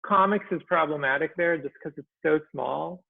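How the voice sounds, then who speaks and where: A man of about forty from Israel, a guest talking in a casual chat, soft-spoken and halting, with many ums and trailing phrases. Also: on the high side, vocal fry, no echo. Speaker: guest cartoonist. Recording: tinny dry call audio.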